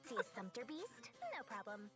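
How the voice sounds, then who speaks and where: A woman's voice: medium pitch, lively intonation, moderate pace, natural voice. A woman voicing a cheeky, money-loving young girl merchant in a video game, boastful and sing-song. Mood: boastful, wheedling. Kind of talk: video game character acting. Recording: game trailer under loud music.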